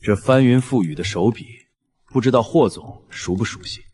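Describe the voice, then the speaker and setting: An actor playing a cool, calculating and powerful boss in an audio drama, speaking in a controlled, probing, sardonic way. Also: male voice, mid-range voice, normal speed, darkish tone, husky, lightly acted. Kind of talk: scripted audio drama acting.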